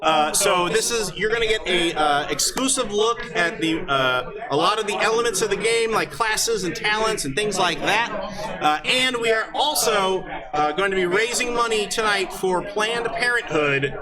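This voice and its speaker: A man hosting a live game show as game master, speaking off the cuff in a halting, joking way. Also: sits high, expressive. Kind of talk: unscripted live stage introduction. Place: convention hall with live audience. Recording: loud crowd, live convention stage.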